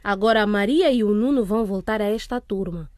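A woman's voice: mid-range voice, a little fast, a little mellow, no echo, studio quality, close to an everyday voice. An actress playing a stern, authoritative female school principal in a radio drama, commanding and admonishing. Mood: strict warning.

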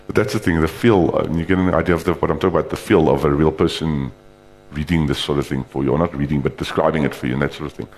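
A man giving an informal, rambling live demonstration of screen reader software, talking loosely with frequent restarts. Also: extremely low pitch, steady tone, fast talker, slight background noise.

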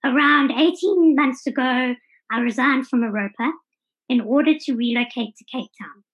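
South african woman as podcast guest, highish voice, explains stuff clearly in detail, slightly dull audio. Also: normal speed. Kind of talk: conversational interview answers.

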